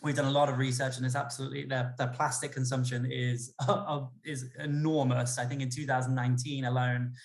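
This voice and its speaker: A man speaking for an ocean campaign group, measured and full of fillers. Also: mid-range voice, little pitch movement. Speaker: campaign spokesperson. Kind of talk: casual livestream talk.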